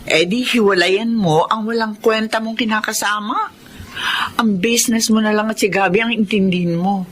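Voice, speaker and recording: A Filipino woman playing a concerned, frank, excitable friend in a radio drama. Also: mid-range voice, moderate pace, slight background noise, lightly acted. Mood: frank, advising.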